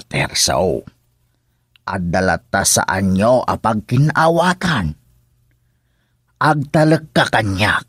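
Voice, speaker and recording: A man acting in a radio drama as a kindly, fatherly older villager, with an Ilocano accent, a husky voice and a measured, counselling manner. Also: on the low side, a bit bright, dry recording, clean studio sound, full character voice. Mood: reassuring.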